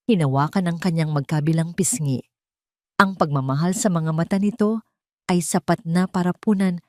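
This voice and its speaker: Low-pitched woman narrating a romance. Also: a little mellow, dry recording, studio quality. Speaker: story narrator. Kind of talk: scripted dramatic romance story narration.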